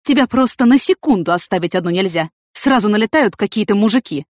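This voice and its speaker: A woman's voice: medium pitch, animated, brisk, dry recording, clean studio sound, close to an everyday voice. A woman voicing a jealous, clumsy male police investigator in an audiobook, complaining and reproachful. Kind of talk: audiobook character acting.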